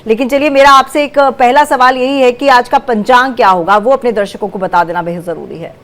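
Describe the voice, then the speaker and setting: A woman anchors a live astrology call-in program from a TV studio. She talks briskly and lays out each step for viewers, now and then breaking into a cough. Her voice sits fairly high. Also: fairly bright tone, slight background noise. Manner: brisk, repeats points for viewers. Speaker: news channel anchor. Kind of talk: live TV call-in show hosting.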